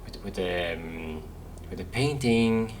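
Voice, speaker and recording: A man's voice: low-pitched, animated, slow pace, background noise. A man giving casual gameplay commentary, musing aloud and repeating words as he works things out. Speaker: gaming video host. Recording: music behind the voice. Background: game music.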